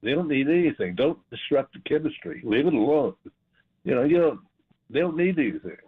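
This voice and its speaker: Old american guy phoning a radio show, husky rough voice, halting n folksy, thin phone line. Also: on the high side, animated. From California, USA. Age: 60s or older. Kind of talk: casual chat. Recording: tinny, narrow phone line.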